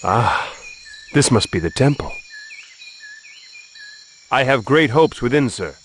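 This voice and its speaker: Eager, courteous adventurer, mid-pitched. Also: male voice, expressive, a bit bright, slight background noise. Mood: hopeful. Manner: polite, formal, brisk. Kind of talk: video game cutscene acting.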